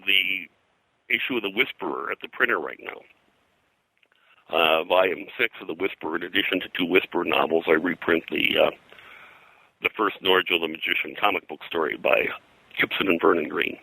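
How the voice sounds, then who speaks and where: A man speaks in a deep register with a very bright, forceful edge and a gravelly fry. He explains history conversationally as an interview guest, in a halting way that is packed with detail. The sound is dry and close. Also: no echo, poor audio. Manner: halting, detail-heavy, informative. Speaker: interviewed publisher and pop-culture historian.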